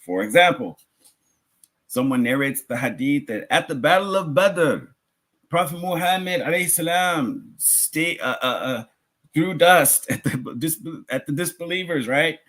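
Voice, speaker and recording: A man's voice: a little high, animated, a little slow, a bit bright. A man giving a religious lecture as a teacher, conversational, posing rhetorical questions and rephrasing his points.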